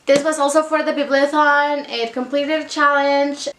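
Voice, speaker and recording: A woman's voice: high voice, flat delivery, normal speed, fairly bright tone, roomy sound. A young woman from Mexico with a Mexican accent, chatting casually about books in a vlog, rambling with many fillers. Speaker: book vlogger. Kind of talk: casual reading wrap-up vlog.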